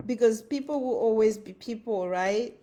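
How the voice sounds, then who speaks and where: A woman's voice: on the high side, dark tone, lo-fi sound. A woman with a Zimbabwean accent giving an earnest motivational talk, repeating her phrases for emphasis. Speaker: motivational speaker. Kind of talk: motivational monologue.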